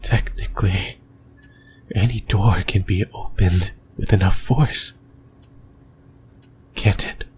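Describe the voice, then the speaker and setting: A man performs a possessive, yearning demon who whispers right up at the listener's ear. The voice is very low and breathy, drifting through halting, intimate musings at a very slow pace. Clear background noise sits under this creepy ASMR roleplay. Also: fairly even intonation, a little mellow. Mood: uneasy musing. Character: possessive, creepy male sleep paralysis demon, yearning and lonely. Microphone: close microphone.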